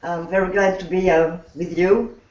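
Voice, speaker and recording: A woman's voice: on the low side, little pitch movement, normal speed, a little mellow. A woman with an Alsatian French accent greeting viewers in careful, hesitant English. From Alsace, France. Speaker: guest. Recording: echoey, distant, dull sound.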